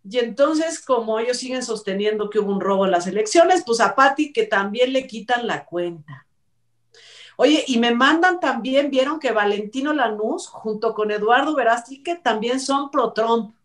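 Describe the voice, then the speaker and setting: High-voiced Mexican woman host, distant mic. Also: expressive, moderate pace. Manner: chatty, emphatic, sarcastic. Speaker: talk show host. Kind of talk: informal opinion commentary on entertainment news. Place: indoor room, video call.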